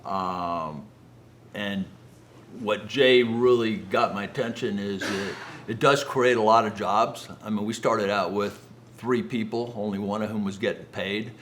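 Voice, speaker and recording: Bright-voiced American man telling personal stories. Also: fairly low pitch, a little slow, a little hiss or hum. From Los Angeles area, United States. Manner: conversational, acts out quoted dialogue. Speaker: guest speaker, business founder. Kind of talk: personal storytelling to an audience.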